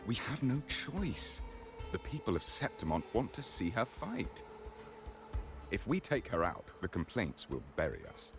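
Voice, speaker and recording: An actor playing a pragmatic, placating gladiator manager in a video game, with a soothing, persuasive manner. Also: male voice, fairly low pitch, huge pitch swings, unhurried, music in the background, exaggerated voice. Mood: grave, persuasive.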